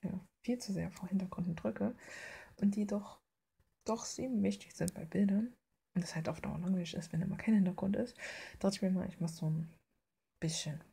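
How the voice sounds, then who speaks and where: A woman's voice: on the low side, creaky, dark tone, breathy edge. A woman chatting casually in an informal, rambling, self-deprecating way, as a video creator talking about her art. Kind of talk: casual chat over finished drawing. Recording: faint background noise with clicks.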